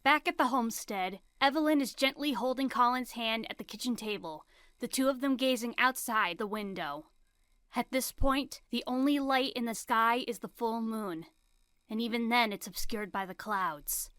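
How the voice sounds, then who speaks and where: A woman's voice: on the high side, normal speed, fairly bright tone, no room sound. A woman reading scripted storybook narration for an audio drama.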